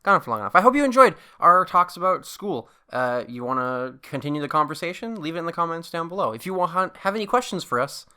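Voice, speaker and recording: Bright-voiced young man, casual podcaster. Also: a little high, lively intonation, fast talker, hardly any pauses, clear, resonant tone. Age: early twenties. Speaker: podcast co-host. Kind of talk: casual rambling chat.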